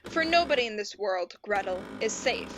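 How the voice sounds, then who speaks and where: A woman's voice: medium pitch, expressive, moderate pace, background noise, natural voice. A woman playing an exasperated, gloomy storybook narrator in a radio play, theatrical and stern. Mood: ominous.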